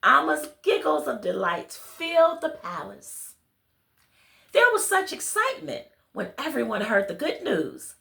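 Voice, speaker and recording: A woman reading a children's picture book aloud, expressive, speaking directly to her young listeners. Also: sits high, measured pace, vocal fry, reverberant. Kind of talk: children's read-along with friendly asides to listeners.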